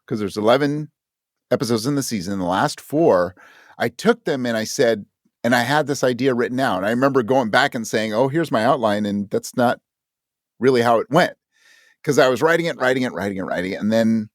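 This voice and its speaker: A man being interviewed about his writing, talking in a thoughtful, halting way and voicing his own thoughts as quotes. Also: lowish voice, dry recording, studio quality. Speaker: interview guest, audio drama writer.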